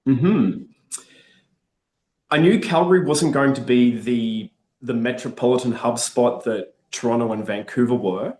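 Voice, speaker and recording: A man's voice: mid-range voice, measured pace, warm tone. Upbeat Australian man, echoey room. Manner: upbeat, chatty, frequent restarts. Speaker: guest. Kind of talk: casual interview.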